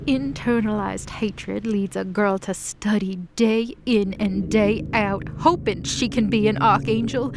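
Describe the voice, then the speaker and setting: A woman's voice: on the high side, background noise. A woman playing an embittered, defiant demon of hell in an audio drama, speaking with passion and slangy sarcasm. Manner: impassioned, sarcastic, casual slangy speech. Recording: prominent music under the voice.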